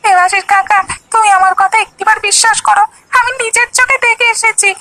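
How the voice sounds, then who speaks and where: A woman voicing an earnest, anxious village boy with an insistent manner in an animated story. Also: extremely high pitch, fairly quick, over music. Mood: urgent, pleading. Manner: pleading, insistent. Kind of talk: Bengali animated story dialogue.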